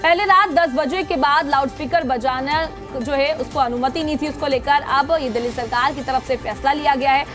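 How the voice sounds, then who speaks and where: A woman's voice: sits high, moderate pace, over music. A woman news anchor reading a scripted news report.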